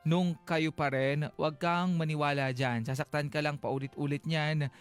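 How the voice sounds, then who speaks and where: A man's voice: a little high, fairly even intonation, normal speed. A Filipino man co-hosting a radio advice show, talking conversationally and mixing some English into his Tagalog. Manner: code-switches between Tagalog and English. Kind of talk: conversational radio advice, reading listener comments. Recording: music playing behind the voice. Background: background music.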